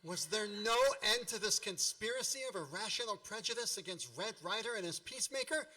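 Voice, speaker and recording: Wry nostalgic narrator, mock-grand, forceful bright tone, slightly high, radio play. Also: male voice, expressive, brisk, far from the mic, full character voice. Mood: dismayed. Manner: wry, melodramatic. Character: wry grown man narrating his boyhood memories with mock grandeur.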